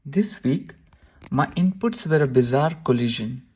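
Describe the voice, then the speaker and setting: A man reading a short scripted intro to the show in an even way. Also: mid-range voice, measured pace, breathy edge. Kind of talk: read intro narration.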